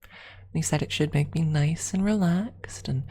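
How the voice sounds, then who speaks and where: A woman speaking softly and often in a whisper, telling a personal story as an ASMR streamer, close to the microphone. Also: deep voice, measured pace, slight background noise. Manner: hushed, often slipping into whisper. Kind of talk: soft-spoken ASMR storytelling.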